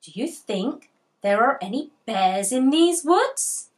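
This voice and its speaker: Woman voicing an excitable young boy tiger, drawing words out. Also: sits high. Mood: nervous. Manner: emphatic, drawn-out words. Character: excitable young male toy-like tiger, boastful yet nervous. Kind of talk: children's storybook character read aloud.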